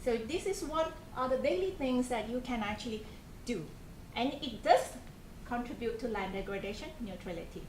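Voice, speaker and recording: A woman's voice: a little high, animated, normal speed, a little mellow. A woman in her thirties with a Malaysian accent gives an informal talk to students, asking them questions and restarting her sentences often. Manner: conversational, asks the audience questions, frequent restarts and fillers. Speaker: programme coordinator giving a talk to students. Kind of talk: informal presentation to a student group. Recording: echoey room, faint background noise.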